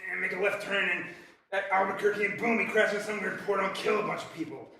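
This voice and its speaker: A man's voice: sits high, fairly even intonation, quick pace, sharp tone. A man voicing a reckless, foul-mouthed cartoon ranter who stammers and swears dismissively, in a live comedy sketch. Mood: scolding. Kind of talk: live comedy sketch in old-time radio style. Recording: very echoey room, distant mic, faint noise.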